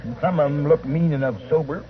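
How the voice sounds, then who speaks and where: A man's voice: a little high, fairly even intonation, brisk, lightly acted. A man playing an older, folksy frontier buffalo hunter with a rustic Western drawl in a radio western. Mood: jovial, folksy. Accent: American Western drawl. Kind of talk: 1950s radio western acting. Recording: tinny, narrow-band old radio recording.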